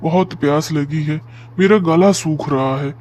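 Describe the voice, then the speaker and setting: A man playing a solemn wandering holy man in a cartoon moral story, speaking in a measured, fatherly way. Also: a little high, a little mellow, a little hiss or hum, close to an everyday voice. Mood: weary, pleading.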